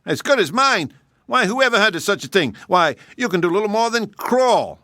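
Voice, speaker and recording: A man's voice: sits high, sing-song, moderate pace, bright, forceful tone, dry recording, clean studio sound, voice pushed up, heavily acted. Man putting on a sneering, pompous hare. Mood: scornful. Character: boastful, haughty male hare.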